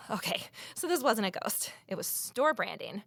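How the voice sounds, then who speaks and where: A woman's voice: medium pitch, very animated, a little slow, creaky, fairly bright tone, a little hiss or hum, exaggerated voice. An actress playing a guarded, lonely amateur sleuth who narrates her own mystery in an introspective audio drama style. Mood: spooked, puzzled.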